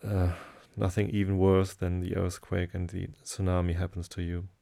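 Deep gravelly man with a German accent. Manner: hesitant, many fillers, slow calm delivery. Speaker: sleep podcast host. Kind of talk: soothing low-voiced reading for sleep.

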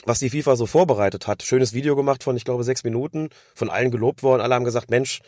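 A man, hoarse from a cold, giving long analytical explanations as a podcast co-host. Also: medium pitch, fast talker, darkish tone, dry recording, clean studio sound. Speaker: podcast co-host discussing refereeing. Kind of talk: casual podcast chat.

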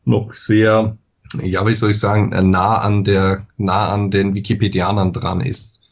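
A man's voice: fairly low pitch, level tone. A man from western Austria with an Austrian accent, a podcast guest explaining at length in careful standard German with frequent hesitations. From Vorarlberg, western Austria. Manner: measured, explanatory, with many hesitations. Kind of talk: podcast interview.

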